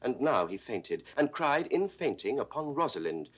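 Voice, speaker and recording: A man playing an earnest, noble young gentleman in 1960s recorded classical theatre, with solemn, declamatory, measured delivery. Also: mid-range voice, very animated, breathy edge.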